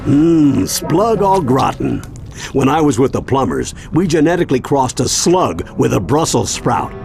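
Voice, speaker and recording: An actor playing a wise, steady old American grandfather with a gravelly voice in a cartoon video game. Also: medium pitch, a little slow, soft background music. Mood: pleased, folksy. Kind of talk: animated game cutscene acting.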